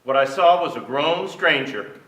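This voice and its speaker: A man's voice: a little high, expressive, unhurried, a bit bright, distant mic. An older man playing a melancholy writer recalling a lonely childhood in a theatrical staged reading on a large stage. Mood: melancholy recollection. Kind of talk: theatrical literary narration. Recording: echoey theater stage, miked from afar.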